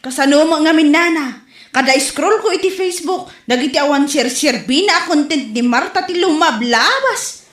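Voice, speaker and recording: A woman's voice: high voice, lively intonation, moderate pace, a bit bright. A woman with an Ilocano Filipino accent playing a sour, envious, mocking gossip in a comedy audio drama. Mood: bored, scornful. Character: sour, envious, gossiping daughter who mocks vloggers. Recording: roomy, echoing home recording.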